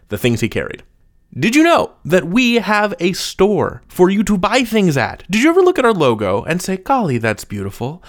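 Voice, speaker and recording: A male podcast host with a very lively, swinging pitch. He sits in an ordinary male register and speaks playfully, with a mock-grandiose flourish, as he chats and narrates to listeners. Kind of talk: interview chat and scripted outro narration.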